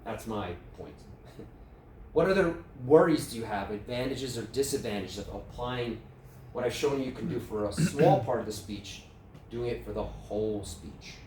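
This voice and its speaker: A man with a New York accent runs a speaking workshop, relaxed and conversational, often turning questions to his listeners. He sounds some way from the mic, in an echoey meeting room with faint background noise. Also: medium pitch, moderate pace, recorded from a distance. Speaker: workshop presenter.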